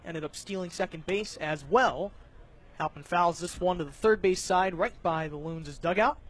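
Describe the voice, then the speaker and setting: High-voiced man calling baseball play-by-play. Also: fairly bright tone. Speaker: play-by-play announcer. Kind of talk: live baseball radio play-by-play commentary.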